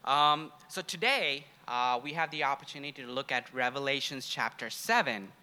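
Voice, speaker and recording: Casting: young male preacher from Sri Lanka and India, very bright forceful voice, mid pitch, chatty storytelling delivery. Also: normal speed. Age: young adult. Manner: conversational, personal storytelling. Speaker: guest preacher, grad student. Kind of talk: informal sermon introduction to a congregation.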